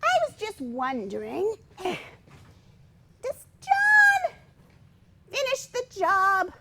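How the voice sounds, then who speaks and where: A woman's voice: extremely high pitch, wildly swooping pitch, drawn-out words, very noisy, full character voice. A woman acting a prim, fussy, hesitant older mother in a staged comedy reading. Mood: hesitant, probing. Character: prim, easily scandalised older mother, self-effacing and nosy.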